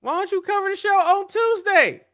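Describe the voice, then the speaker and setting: A man's voice: very high-pitched, lively intonation, normal speed, bright, forceful tone, no room sound, studio quality. A man co-hosting a podcast, teasing his co-hosts in casual banter. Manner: teasing, good-natured ribbing. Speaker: podcast co-host.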